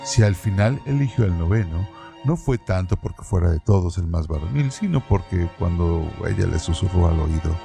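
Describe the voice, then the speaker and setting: A man giving a measured, deliberate scripted reading of a literary text as narrator of a radio-theatre piece. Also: low-pitched, animated, creaky, music in the background.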